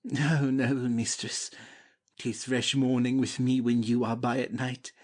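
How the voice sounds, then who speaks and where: A man's voice: medium pitch, level tone, measured pace, a little mellow, lightly acted. A man playing an ardent, humbly devoted young prince in a dramatic Shakespeare audiobook, declaiming verse fervently. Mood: earnest, gallant.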